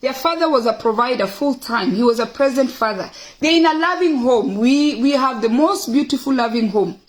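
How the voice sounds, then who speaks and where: Middle-aged female livestream host, Kenyan accent, high voice, opinionated and emphatic, informal address to viewers. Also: lively intonation, normal speed, low-quality recording. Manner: emphatic, repeats phrases for stress. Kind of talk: casual, opinionated talk to viewers, reading out questions.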